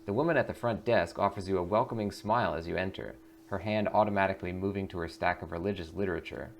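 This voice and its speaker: A man's voice: low-pitched, fast talker, a little hiss or hum. A man hosting a gaming video, reading out narration and adding casual commentary.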